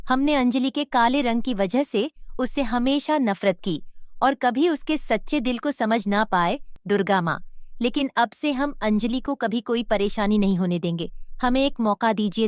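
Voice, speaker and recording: A woman's voice: a little high, no room sound, clean studio sound, natural voice. A woman playing a repentant, pleading mother. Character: remorseful mother begging forgiveness. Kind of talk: cartoon story voice acting.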